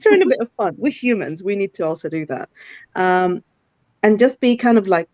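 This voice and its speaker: A woman's voice: mid-range voice, expressive, brisk, a little mellow, dry recording. Middle-aged woman, CEO guest on a live video call, thoughtful and full of ums, dull dry audio. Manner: thoughtful, many fillers and restarts. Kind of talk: live online interview.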